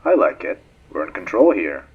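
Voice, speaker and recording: A man's voice: low-pitched, big pitch swings, moderate pace, phone-quality sound, a little hiss or hum. A man playing a cocky, ambitious space freighter co-pilot in a game fan dub, talking in a wry, offhand, needling way. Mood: offhand, confident. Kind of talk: dramatic game fandub dialogue.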